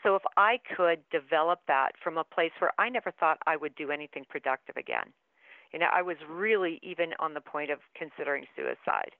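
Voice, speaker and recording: A woman author speaks earnestly and with emphasis as a guest over the phone. Her voice is smooth and clear at a middle pitch. The line is narrow and tinny, and the sound is dry. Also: a little fast, no room sound. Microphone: telephone.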